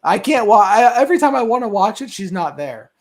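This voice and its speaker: A man's voice: sits high, very animated, brisk. A man co-hosting a movie discussion show over a video call in casual conversation. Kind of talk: casual chat.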